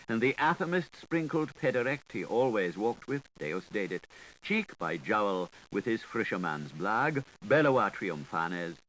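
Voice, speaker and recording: A man's voice: mid-range voice, fairly bright tone, slight background noise, poor audio. A man reading literary prose aloud as an audiobook narrator, in a theatrical storytelling style. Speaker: audiobook reader.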